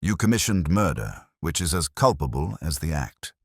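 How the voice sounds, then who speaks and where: A man's voice: very deep voice, very animated, moderate pace, slightly rough, dry recording, clean studio sound, exaggerated voice. A man voicing a commanding, heavyset detective in an audiobook, with formal, peremptory pronouncements. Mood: stern. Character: commanding, imperious, heavyset male master detective.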